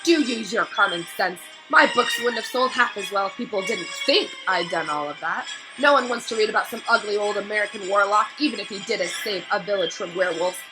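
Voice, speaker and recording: A woman's voice: medium pitch, fairly quick, over music, close to an everyday voice, rough recording. A woman voicing a vain, evasive middle-aged male wizard professor in a storybook, pompous and blustering, with hesitant hemming.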